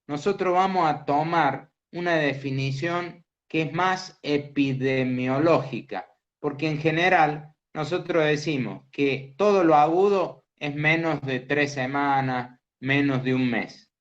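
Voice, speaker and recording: A man teaching a medical class, explaining slowly and asking students questions. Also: on the high side. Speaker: medical lecturer. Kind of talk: interactive lecture. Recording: dull lo-fi class recording.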